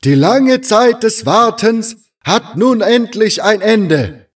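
Exalted cult guru, high loud proclamation. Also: male voice, animated, heavily acted. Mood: exalted, fervent. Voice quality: smoky undertone, strained loud voice. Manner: solemn, slow, drawn-out proclamation. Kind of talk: fan radio play acting. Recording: distant voice, as across a square.

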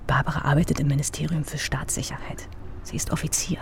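A woman playing a guarded, anxious East Berliner in a radio crime drama, speaking in a hushed, clipped, matter-of-fact way. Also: sits low, little pitch movement, fast, creaky, in a whisper. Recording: studio radio drama with music behind.

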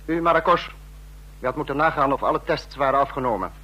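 An actor playing a sarcastic, domineering dictator in a radio drama, husky-voiced and biting as he scolds his subordinates. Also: medium pitch, fast, bright, forceful tone, low-quality recording. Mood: icy sarcasm.

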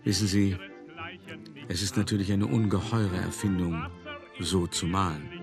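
Older art dealer, low voice. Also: male voice, steady tone, vocal fry, music in the background. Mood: wistful pride. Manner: measured, cultivated storytelling with dramatic pauses. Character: old art dealer recounting his past, indignant, self-justifying. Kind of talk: radio play monologue, reminiscing narration.

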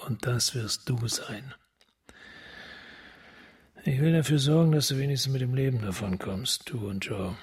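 An actor playing a weary, driven police detective in a radio drama, gravelly, terse and commanding. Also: male voice, mid-range voice, a little slow, long pauses. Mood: hard, insistent. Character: hard-bitten male police homicide detective, weary and driven. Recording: loud guitar music behind.

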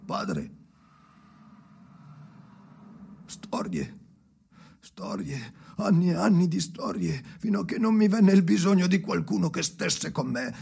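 An actor playing a tormented, bitter ageing man in a radio drama monologue, raspy and often sinking to a whisper, speaking in slow, halting fragments. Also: high voice, very animated, a little mellow, exaggerated voice. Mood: anguished, bitter. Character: tormented ageing man haunted by his dead father, brooding, bitter.

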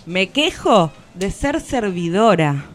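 An actress playing a defiant woman who speaks for all women, in a solemn, deliberate radio theatre recitation. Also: mid-range voice, big pitch swings. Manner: solemn, deliberate, poetic declamation. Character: defiant collective woman of all ages, grieving and accusing.

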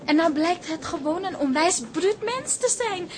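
A woman's voice: very high-pitched, expressive, a little slow. An actress playing a brash, blunt teenage girl who talks in youthful slang in a radio drama. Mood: defiant. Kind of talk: naturalistic radio drama acting.

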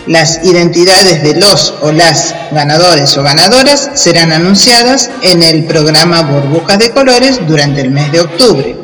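An Argentine man presenting a children's radio program, reading a scripted announcement deliberately, item by item. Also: a little high, a bit bright. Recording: long reverb with music underneath.

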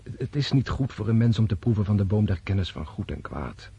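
An actor in a Dutch radio play voicing a disillusioned, brooding military officer, intense and bitter, with halting, reflective pauses. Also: male voice, fairly low pitch, animated, vocal fry, warm tone, muffled recording, a little hiss or hum. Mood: weary, resigned. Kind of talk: radio drama acting.

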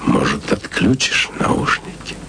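An actor playing a lovesick man who speaks to a distant woman in a slow, intimate, half-whispered voice, in a radio drama. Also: sharp tone, slight background noise. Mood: tender.

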